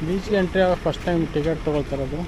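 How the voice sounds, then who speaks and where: A man talks wryly to a handheld camera as he walks through a city. His voice sits fairly high. Heavy street and traffic noise fills the recording. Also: racing. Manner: chatty, wry and grumbling, addresses viewers directly. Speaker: travel vlogger. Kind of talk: walking travel vlog, talking to camera. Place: outdoors on busy city streets, bus stand and riverside. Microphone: handheld action camera at arm's length.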